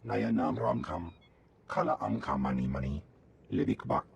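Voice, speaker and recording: Gruff alien game character, super gravelly, made-up language in choppy bursts, faint noise behind. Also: male voice, low-pitched, lively intonation, moderate pace, dull audio, full character voice. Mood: urgent, gruff. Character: gruff male alien officer speaking an invented tongue. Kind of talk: video game alien dialogue.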